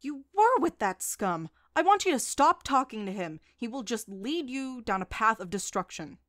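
A woman's voice: fairly high pitch, very animated, normal speed, a bit bright. A woman voicing a stern, distrustful mother who scolds, in an audiobook drama. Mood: angry, scolding. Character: stern, distrustful, scornful mother of a teenage boy. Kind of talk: audiobook character acting.

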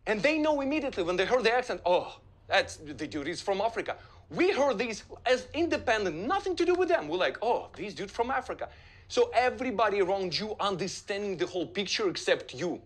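A man's voice: sits high, sing-song, brisk. A man working as a journalist, speaking heatedly and emphatically with non-native phrasing. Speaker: investigative journalist.

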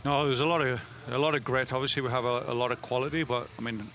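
Fast-talking middle-aged coach, headset mic. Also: male voice, mid-range voice, fairly even intonation, a bit bright, slight background noise. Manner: fast, with frequent restarts and fillers. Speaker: head soccer coach. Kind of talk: post-game interview. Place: indoor media room before a backdrop.

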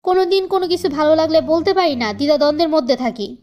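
A woman's voice: high-pitched, fast talker, a little mellow, natural voice. A woman voicing a frustrated young wife in a cartoon family drama, plaintive and earnest, pleading and standing up for herself. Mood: indignant, resolute. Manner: plaintive, earnest, emphatic complaining. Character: frustrated young wife, pleading then defiant about independence.

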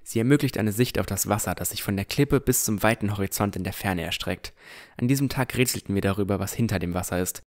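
A man's voice: on the low side, fast. A man narrating a fantasy audio drama in the first person from a script.

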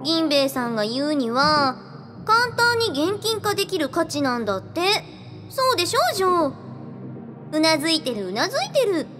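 A woman's voice: sits high, very animated, normal speed. A woman acting a coy, bubbly, girlish female spirit in a radio drama, cutesy and sweetly wheedling. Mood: chatty, explaining. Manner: cutesy, speaks of herself by name. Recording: music behind the voice.

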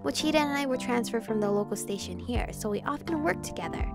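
A young woman hosts a gaming video, reading dialogue and commenting playfully. Her voice is fairly high and often drops into fry. Clear game music sits behind her. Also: expressive, fast. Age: young adult. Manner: chatty, playful.

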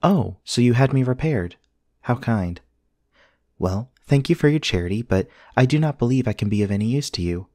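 A man playing a polite, earnest companion robot in an ASMR roleplay, speaking in formal, measured, precise sentences. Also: mid-range voice, animated, darkish tone, no room sound, studio quality, lightly acted. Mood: polite, thoughtful. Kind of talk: scripted ASMR roleplay acting.

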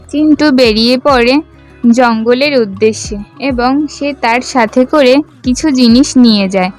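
High-pitched woman storyteller. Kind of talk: scripted children's story narration.

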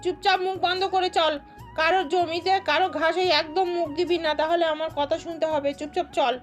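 A woman plays a very high-voiced farm wife and mother from a cartoon village. She is homely, fussy and quick to scold. Music plays under her voice. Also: little pitch movement. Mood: bossy, homely. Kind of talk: amateur cartoon dubbing.

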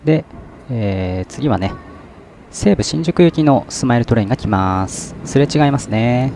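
A man's voice: medium pitch, moderate pace, creaky, noisy background. A man filming trains from an outdoor station deck, chatting casually and hesitantly as he points out each train. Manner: relaxed, chatty, frequent "ne" fillers and hesitations. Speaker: vlogger. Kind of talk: casual train-spotting commentary. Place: outdoor station deck overlooking rail yard.

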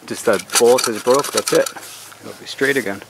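A man's voice: mid-range voice, normal speed, vocal fry, noisy background. A middle-aged man chatting casually in his backyard while doing chores, rambling in an easygoing way. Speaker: vlogger. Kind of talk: casual chat. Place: backyard outdoors.

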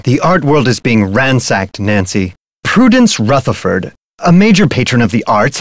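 Mystery game role: theatrical, florid art dealer, very animated and fairly high-voiced. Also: male voice, dry recording, clean studio sound. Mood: anguished, urgent. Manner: melodramatic, florid, swooping. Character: flamboyant, theatrical male art dealer, dramatic and gossipy. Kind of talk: theatrical video game character acting.

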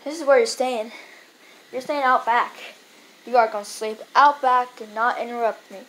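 A young performer playing a grumpy, tired father who scolds his son in a homemade skit, gruff and repeating his orders. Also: medium pitch, fairly even intonation, moderate pace, a bit bright, slight background noise, lightly acted, poor audio.

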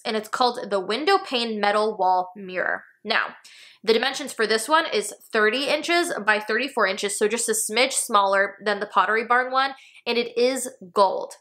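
Bright-voiced American woman reviewing home decor. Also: on the high side. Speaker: home decor video host. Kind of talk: casual product review to camera. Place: small furnished room indoors.

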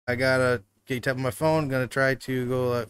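A man's voice: medium pitch, level tone, normal speed, a bit bright, clean tone. A man in his thirties hosting a casual livestream talk show from a living room couch, laughing often and bantering loosely with swearing.

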